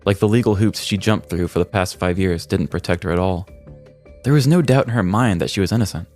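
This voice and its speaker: Man, deep pitch, storyteller narration, scripted comedic true crime, background music. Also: brisk, darkish tone. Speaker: podcast host.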